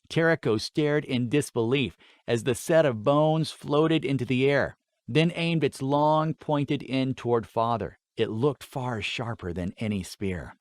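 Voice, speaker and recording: A man's voice: mid-range voice, no echo, clean studio sound. A man reading scripted fantasy fiction as a dramatic audiobook narrator.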